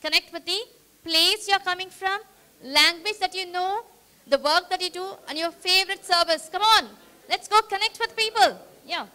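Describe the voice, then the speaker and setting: Woman trainer, high, crisp voice, energetic and audience-rallying. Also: moderate pace. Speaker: workshop trainer. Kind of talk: interactive training session. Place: meeting hall with a live audience.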